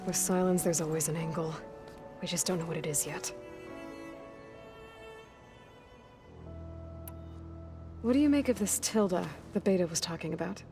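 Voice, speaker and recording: A guarded young American huntress from a video game, acted by a woman. She speaks in a slightly low voice, understated and serious, keeping her sentences short. Music plays clearly behind her. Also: fast talker, natural voice. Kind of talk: naturalistic video game acting.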